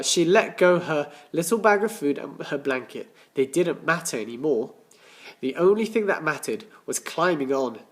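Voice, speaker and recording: A man reading a children's story aloud as a teacher, with deliberate dramatic emphasis and questions to his listeners. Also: on the high side. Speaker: primary school teacher.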